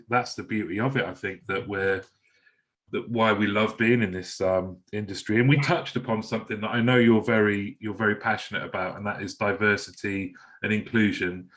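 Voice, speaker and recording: Friendly low-voiced male podcast host. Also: a bit bright. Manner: friendly, conversational, rambling questions. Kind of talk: podcast interview chat.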